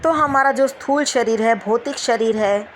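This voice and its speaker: A woman leading a guided meditation, giving gentle instructions with repeated phrases and long pauses. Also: on the high side, a little hiss or hum.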